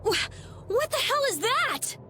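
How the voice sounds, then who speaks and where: Young fantasy-game heroine: breathless, exclamatory, very high pitch, measured pace. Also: female voice, sing-song, bright, forceful tone, slight background noise, full character voice. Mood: shocked. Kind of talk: dramatic video game voice acting.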